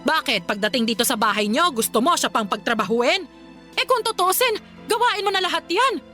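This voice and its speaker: A woman's voice: high voice, expressive, brisk, pushed and bright, soft background music. A woman playing an angry, overbearing older Filipino mother who nags and scolds sarcastically in a radio drama. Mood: indignant, scolding. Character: angry, overbearing, nagging mother of a grown son.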